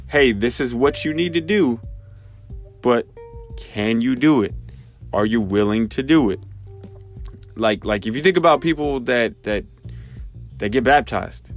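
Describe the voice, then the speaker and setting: A man in his thirties, congested, hosting a podcast and thinking aloud, with many fillers and restarts. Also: mid-range voice. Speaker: podcast host. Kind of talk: casual spoken reflection.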